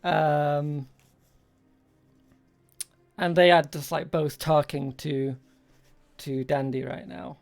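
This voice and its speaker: Game master, slow halting delivery, creaky mid-pitched voice, improvised tabletop narration, faint music behind. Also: level tone. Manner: halting, searching for words.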